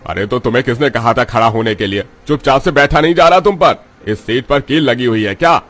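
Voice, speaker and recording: A man's voice: medium pitch, a little fast, a bit bright, natural voice. A man playing an impatient, indignant villager in a cartoon, brusque and complaining. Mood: scolding.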